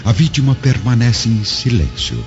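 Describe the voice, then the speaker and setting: A man's voice: medium pitch, sing-song, normal speed. A man delivering dramatic scripted narration as the narrator of an audio story. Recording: dull lo-fi audio, music behind. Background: music.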